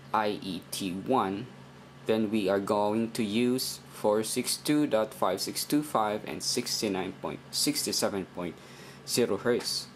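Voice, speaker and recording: Male tutorial presenter, deeper voice, very unhurried and halting, informal instruction over light background noise. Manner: halting, deliberate, repeats himself. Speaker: instructor in a how-to video.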